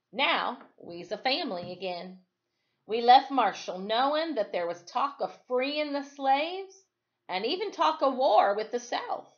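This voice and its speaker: A woman's voice: a little high, dull audio. A woman voicing a plainspoken, formerly enslaved storybook narrator who recalls the past in a folksy, emphatic, reflective way.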